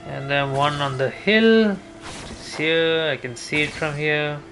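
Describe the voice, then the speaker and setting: A man's voice: on the high side, takes it slowly, fairly bright tone, low-quality recording. A man giving casual gameplay commentary, thinking aloud as he mutters through each step to himself. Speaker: video game streamer. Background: game music.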